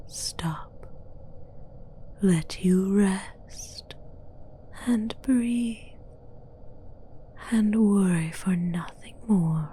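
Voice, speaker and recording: A woman playing a seductive, domineering demoness in a breathy, mostly whispered ASMR fantasy roleplay, commanding and coaxing in formal, archaic phrasing. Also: fairly low pitch, warm tone, slight background noise. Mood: soothing, seductive.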